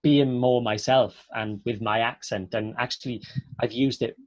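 A man's voice: medium pitch, steady tone, normal speed, no room sound. A young Welsh man with a Welsh accent, an actor chatting on a video call, talking in loose runs full of fillers and restarts. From Wales. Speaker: actor, guest speaker. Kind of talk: casual video-call interview. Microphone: earphone mic on a video call. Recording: dry, slightly dull video-call audio.

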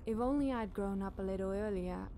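A woman's voice: medium pitch, brisk, dark tone. Woman narrating a scary story, noisy background. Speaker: podcast storyteller.

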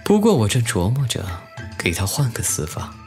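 An actor playing a scheming, lecherous young official in a Chinese costume audio drama, smug and deliberate in manner. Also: male voice, medium pitch, huge pitch swings, darkish tone. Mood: taunting, lecherous. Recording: music under the voice.

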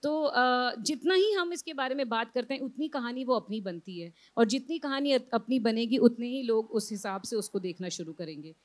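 A woman's voice: on the high side, animated, normal speed, hardly any pauses. A woman in her thirties with an Indian accent, an author speaking on a festival panel into a handheld mic, reflective and full of fillers, slipping into English phrases. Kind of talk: panel discussion. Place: outdoor literary festival stage.

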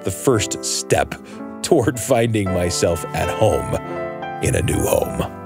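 A man telling a scripted personal story in an expressive, wry manner. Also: low voice, takes it slowly, over music.

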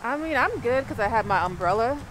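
A woman's voice: fairly high pitch, expressive, fairly quick, fairly bright tone. An American woman chatting casually as the companion of a vlogger. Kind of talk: casual chat. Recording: noisy location sound, off-mic.

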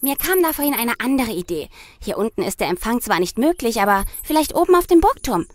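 A woman's voice: a little high, sing-song, brisk. A woman playing a resourceful girl detective who takes charge, in a children's radio play. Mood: urgent, decisive.

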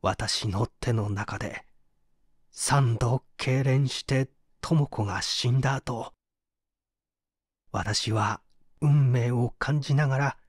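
A man's voice: medium pitch, no room sound, studio quality. A man playing an anguished painter confessing to an interrogator in a polite, emotive radio-drama reading. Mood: haunted, agitated. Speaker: narrator and reader.

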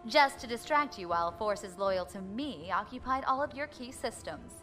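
An actress playing a wry, defiant space pirate leader with a flippant, confident manner in an audio drama. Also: female voice, medium pitch, expressive, normal speed, fairly bright tone, faint music behind, natural voice. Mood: smug, cold.

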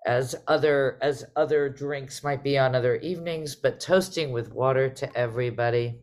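A middle-aged woman hosting a casual online conversation, speaking reflectively and haltingly over a video call. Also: very deep voice, a little mellow. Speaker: host, artistic director.

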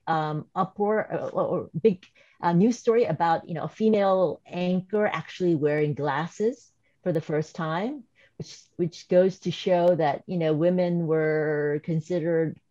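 Low mellow woman with slight Korean accent. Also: no room sound. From South Korea. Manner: measured, thoughtful, some restarts. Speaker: professor and panelist. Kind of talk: webinar panel remarks. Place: home or office video call. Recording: dry, slightly dull video-call audio.

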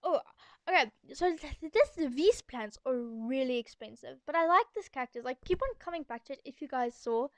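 A woman's voice: high voice, lively intonation, moderate pace, dry recording. A young girl doing a casual, chatty and rambling gaming voiceover as the host of the video. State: sore throat. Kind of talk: casual game commentary voiceover. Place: echoey room at home, voice-over.

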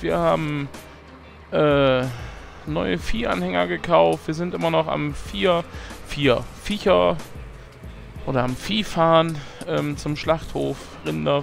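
Hoarse male let's-play host, gravelly and slightly high, casual self-mocking chatter. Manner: chatty, self-mocking, thinks aloud with fillers. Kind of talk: casual gameplay commentary.

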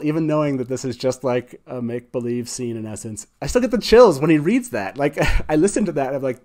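A man's voice: medium pitch, a little mellow. Enthusiastic man hosting a chat show. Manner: enthusiastic, effusive. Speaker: show host. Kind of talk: casual livestream chat with a guest. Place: small wood-panelled studio indoors. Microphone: close studio mic on boom arm.

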